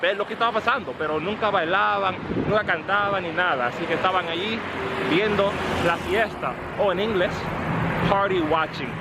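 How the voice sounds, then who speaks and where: High-voiced Dominican man on a loud street. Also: normal speed, very noisy. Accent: Dominican Spanish. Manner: friendly, explanatory, mixes in English. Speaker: street vlogger. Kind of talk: street vlog, explaining to camera.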